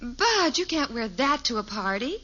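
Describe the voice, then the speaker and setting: A woman playing a patient, practical, gently firm middle-aged mother in a 1950s radio sitcom. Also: fairly high pitch, big pitch swings, moderate pace. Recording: muffled, hissy old radio recording.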